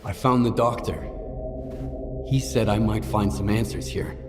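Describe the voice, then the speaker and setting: An actor playing a serious, determined stalker in a video game, terse and plain-spoken. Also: male voice, on the low side, fairly even intonation, measured pace, over music, close to an everyday voice. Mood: serious, probing. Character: serious, determined male stalker questioning others. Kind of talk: video game cutscene acting. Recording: reverberant hall, clear background noise.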